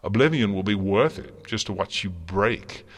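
A man's voice: mid-range voice, big pitch swings, measured pace, fairly bright tone, voice pushed up, heavily acted. A man voicing a mad, gleefully malicious chaos creature in a storybook, theatrical, taunting and crooning. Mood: mad malicious glee. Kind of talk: dramatic storybook character reading.

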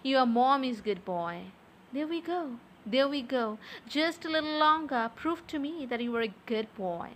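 A young adult woman speaking softly and close to the mic in an intimate audio roleplay, with a teasing, coaxing manner. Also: sits high, animated, a little hiss or hum. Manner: soft, slow, teasing and coaxing.

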